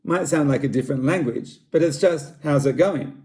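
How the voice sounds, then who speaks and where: A middle-aged Australian man giving a friendly, measured talk to students about his country as a school library director. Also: a little high, dark tone. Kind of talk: scripted cultural presentation for students.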